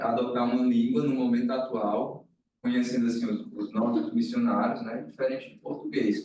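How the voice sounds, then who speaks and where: A man's voice: mid-range voice, a little mellow. Brazilian guy talking at a meeting, kinda hesitant, lots of echo, mic far away. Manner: hesitant, circling back with frequent tag questions. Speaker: meeting speaker. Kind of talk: informal explanation at a meeting. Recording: echoey room, distant mic, faint noise.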